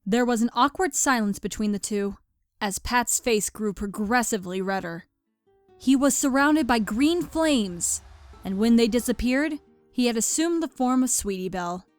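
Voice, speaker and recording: A woman's voice: a little high, animated, moderate pace, soft background music. A woman reading scripted story narration as the narrator of a fan fiction audio drama.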